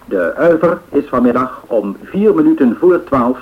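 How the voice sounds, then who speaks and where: An actor playing a formal 1930s radio newsreader, delivering a bulletin with measured announcing. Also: male voice, mid-range voice, lively intonation, close to an everyday voice. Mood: formal, grave. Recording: tinny band-limited old radio sound.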